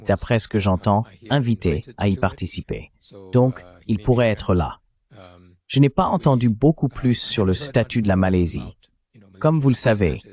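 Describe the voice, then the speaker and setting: A man with a fairly low voice provides a spoken voice-over translation. He delivers interview questions and answers in the manner of an interpreter. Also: darkish tone.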